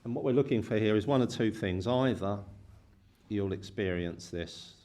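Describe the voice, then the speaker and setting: Male meditation teacher, deep voice, unhurried delivery broken into short, spaced phrases. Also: mellow. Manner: slow, pausing between short phrases. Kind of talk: guided meditation.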